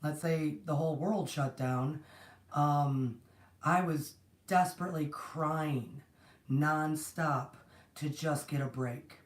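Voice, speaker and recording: A woman's voice: deep voice, takes it slowly. A woman hosting a spiritual livestream, talking casually with a strained, partly lost voice, greeting viewers between rambling thoughts. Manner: rambling, warm greetings to viewers, many restarts. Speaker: host of a spiritual platform. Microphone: distant mic, no proper setup. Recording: echoey room, voice from far off.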